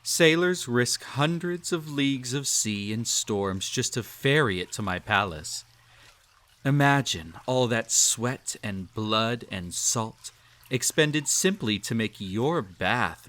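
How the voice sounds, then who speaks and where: A man's voice: medium pitch, expressive, takes it slowly, a bit bright. A man playing a cruel, possessive emperor in a roleplay audio drama, speaking in a formal, pompous, teasing and condescending way. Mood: indulgent, condescending. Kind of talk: scripted roleplay audio drama, intimate address to listener.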